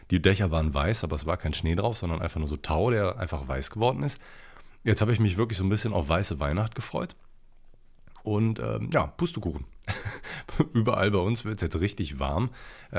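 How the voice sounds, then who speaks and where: Deep gravelly man with a head cold. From Ruhr area, Germany. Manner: rambling, colloquial, blunt slang. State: congested, slightly nasal from a cold. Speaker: podcast host. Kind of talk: casual solo podcast chat.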